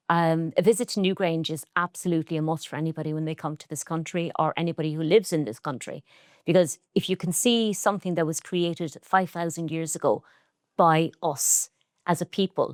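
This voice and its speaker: Low-voiced Irish woman, earnest. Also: no room sound, clean studio sound. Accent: Irish, Cork. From Ireland. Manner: earnest, reflective, speaks with pride about heritage. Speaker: textile artist guest. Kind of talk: relaxed interview conversation.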